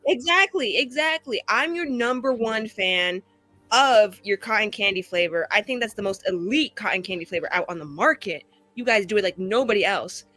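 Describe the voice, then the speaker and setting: A woman's voice: medium pitch, expressive, normal speed, bright, forceful tone, dry recording. A young woman chatting casually and jokingly in a group, with a slangy, theatrical, hyperbolic way of talking. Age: young adult. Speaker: cast member of a tabletop roleplaying show. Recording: music behind the voice. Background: music.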